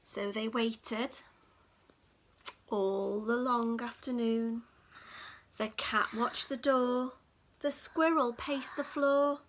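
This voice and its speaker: A woman reading a picture book aloud to young children in an expressive, dramatic storytelling manner. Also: fairly high pitch, very slow, pauses often, a little hiss or hum. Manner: dramatic, with hushed whispers and gasps.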